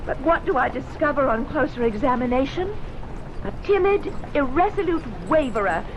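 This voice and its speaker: Young female homeowner, colonial radio mystery, high voice, formal protesting manner, noisy vintage audio. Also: expressive, moderate pace, lo-fi sound. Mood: proud, protesting. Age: young adult. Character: proud, indignant young colonial lady selling her house. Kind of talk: radio mystery drama acting. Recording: noisy old radio recording.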